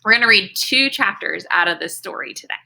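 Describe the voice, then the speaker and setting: A woman speaking as an elementary teacher, giving young pupils instructions in an encouraging, deliberate and emphatic way. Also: mid-range voice, animated, gravelly, sharp tone, rough recording.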